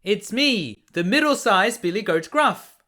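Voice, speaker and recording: A man playing a nervous billy goat, middle-sized, raised pitch, polite, anxious, pleading, storybook character. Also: expressive, a little slow, pushed and bright, heavily acted.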